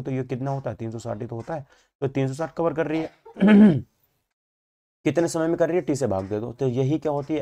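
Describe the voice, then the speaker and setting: Mellow-voiced North Indian man teaching. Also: medium pitch. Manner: repetitive, asks and answers his own questions. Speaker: physics teacher. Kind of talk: explanatory exam-prep lecture.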